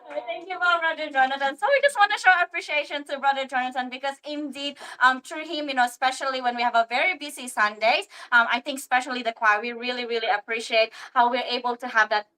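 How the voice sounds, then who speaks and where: A woman hosting a live church radio talk show, asking guests questions politely with soft fillers. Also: high-pitched, fast talker, few pauses, poor audio. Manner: gentle, polite interviewer with fillers. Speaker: radio program host.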